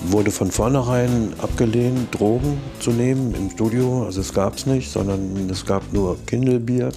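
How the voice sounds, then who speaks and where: An older man reminiscing casually and haltingly in an interview, often adding short tag questions. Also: medium pitch, steady tone. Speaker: sound engineer interviewee. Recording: interview over music.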